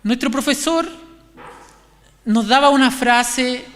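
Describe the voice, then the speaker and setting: A man with a Chilean accent giving an informal, conversational sermon, haltingly repeating and restarting his phrases. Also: very high-pitched, a bit bright. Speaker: preacher. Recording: echoey hall, long reverb.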